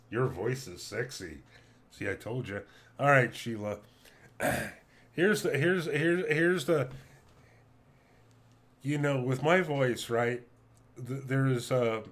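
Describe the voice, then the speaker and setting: Slow-talking deep-voiced middle-aged man. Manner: calm, laid-back, chatty with viewers. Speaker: streamer. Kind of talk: casual live-stream chat.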